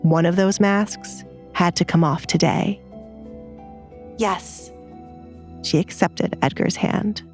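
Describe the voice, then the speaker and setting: A woman's voice: on the low side, measured pace, hardly any pauses, darkish tone, faint music behind. Woman narrating a romance audiobook. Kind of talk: scripted narration of a romance story.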